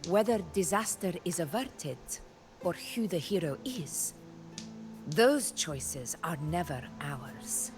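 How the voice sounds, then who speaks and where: Slow, proud warrior woman. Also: lowish voice, lively intonation. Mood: earnest, reflective. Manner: measured, dramatic, with weighted pauses. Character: proud, ambitious warrior woman, wry and brooding about fate. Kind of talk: scripted video game story acting. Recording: background music under voice.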